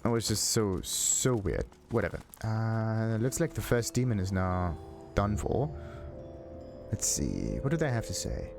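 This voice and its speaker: A low-pitched man hosts a let's play with casual commentary. He thinks aloud haltingly and sounds puzzled, with faint game music behind him. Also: very animated, creaky.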